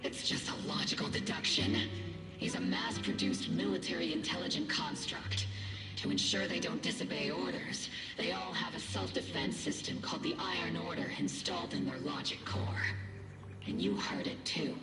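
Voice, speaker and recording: Hard-nosed captain in echoey game audio. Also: female voice, low voice, very animated, normal speed. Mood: cool, probing. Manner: pointed, interrogating. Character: blunt, suspicious, hard-nosed woman military captain. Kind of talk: scripted video game drama acting. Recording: echoey, loud music behind.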